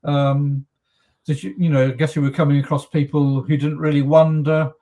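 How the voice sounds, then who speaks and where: A man's voice: a little high, flat delivery, normal speed, mellow. A man hosting a podcast, asking long, rambling questions full of fillers. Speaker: podcast host. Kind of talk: casual interview chat.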